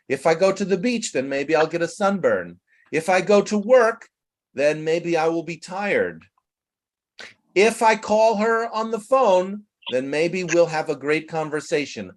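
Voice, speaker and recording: A man teaching English to a group on a video call, lively and joking. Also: sits high. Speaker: English teacher leading an online class.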